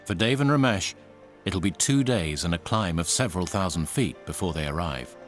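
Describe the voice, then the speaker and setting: Low-voiced male documentary narrator. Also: lively intonation, moderate pace, music in the background.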